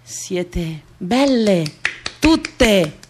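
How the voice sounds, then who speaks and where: A defiant, self-accepting woman in a radio monologue. She declaims slowly and emphatically in a mid-range voice, repeating phrases for weight. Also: mid-range voice, very animated, background noise. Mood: reassuring. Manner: slow, emphatic, repetitive declamation.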